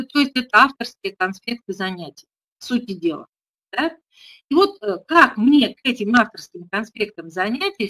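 A woman's voice: medium pitch, a bit bright. A middle-aged woman presenting an online webinar for kindergarten teachers, explaining carefully with frequent hesitation fillers, over a webcam call. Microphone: webcam video call.

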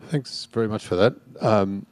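A man with a low voice asks a question from the audience. His phrasing is casual and tentative as he thinks aloud. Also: moderate pace, darkish tone, no room sound. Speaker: audience member asking a question.

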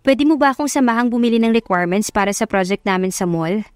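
A woman's voice: on the high side, animated, fast, no room sound, studio quality, close to an everyday voice. A woman voicing an affectionate, playful young wife in love, in a romance audiobook. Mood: playful, sweet.

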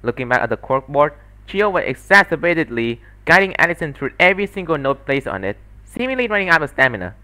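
A man's voice: fairly high pitch, sing-song, fast, sharp tone. Man narrating a visual novel story. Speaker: solo dubber and narrator. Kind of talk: scripted story narration, fandub.

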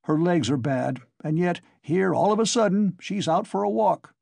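A man's voice: high-pitched, lively intonation, normal speed, gravelly, a little mellow, no echo, studio quality, voice pushed up, exaggerated voice. A man voicing a big, impatient, irascible visitor in an audiobook, exasperated and given to cursing.

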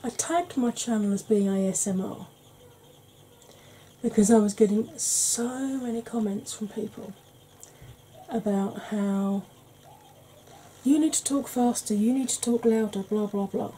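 Soft-spoken woman, Welsh-tinged northern accent. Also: mid-range voice, slight background noise, rough recording. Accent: Welsh-tinged northern English. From Wales and Yorkshire. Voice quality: soft-spoken, slow, wry. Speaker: makeup vlogger. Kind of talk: soft-spoken makeup tutorial chat.

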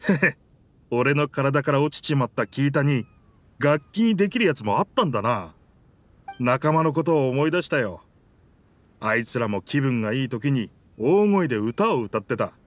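A man voicing a stranded talking boat with a sailor's spirit, rough in phrasing, hearty and wistful, in a Japanese anime game. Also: medium pitch, expressive, moderate pace, close to an everyday voice. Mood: hearty, curious.

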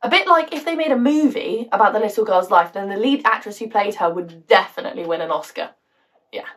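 A woman acting a theatrical monologue with a British accent as a bitter, wounded woman, sardonic, halting and emotionally volatile. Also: mid-range voice, a bit bright, distant mic, lightly acted. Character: bitter, wounded woman confiding a painful story.

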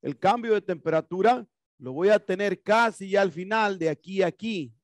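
High-voiced man teaching an HVAC class. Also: moderate pace, no room sound, clean studio sound. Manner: explanatory, asks and answers his own questions. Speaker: HVAC instructor. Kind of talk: classroom lecture. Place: classroom with whiteboard and HVAC equipment. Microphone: headset mic.

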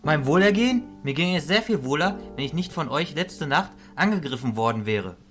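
A man's voice: fairly high pitch, animated, brisk, fairly bright tone, faint music behind. A man acting a captive mage in a fantasy audio drama, well-spoken, dry and somewhat curt. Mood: indignant, impatient.

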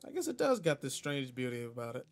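A man's voice: mid-range voice, wildly swooping pitch, quick pace. A man playing a seasoned but laid-back space guardian mentor in a video game roleplay, casual, slangy and wry as he talks to a partner. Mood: reflective. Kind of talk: video game roleplay audio, in-character monologue.

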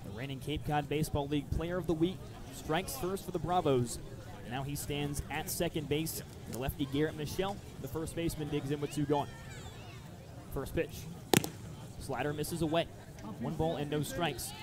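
A man's voice: a little high, fairly even intonation, moderate pace, crowd noise. A man doing live baseball radio play-by-play in short, descriptive phrases. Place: outdoor ballpark broadcast position.

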